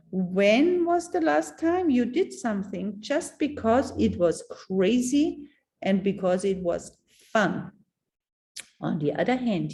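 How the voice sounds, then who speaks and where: A woman reading a text aloud as a narrator, in a theatrical, deliberate storytelling way with dramatic pauses. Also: medium pitch, creaky, warm tone.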